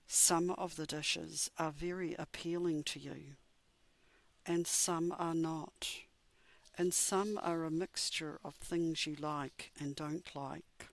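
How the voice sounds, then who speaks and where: Low-pitched woman guiding a slow meditation. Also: fairly bright tone, dry recording. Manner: soothing, measured, with deliberate pauses. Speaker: meditation guide. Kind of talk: guided meditation, scripted.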